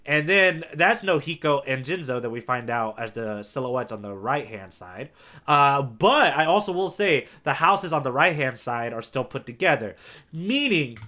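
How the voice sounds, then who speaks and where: A man's voice: medium pitch, expressive, moderate pace, pushed and bright. A man co-hosting a podcast, talking through comic panels in a casual, conversational way. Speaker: podcast co-host. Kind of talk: casual manga discussion.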